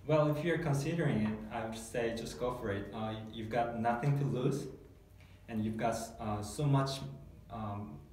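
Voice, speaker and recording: A man's voice: medium pitch, moderate pace, a little mellow. A Korean man in his thirties, a guest in a testimonial interview, speaking English as a second language and hesitating often, with many fillers and restarts. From South Korea. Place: small dark meeting room, seated at a table. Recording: echoey room, mic far off, faint hiss.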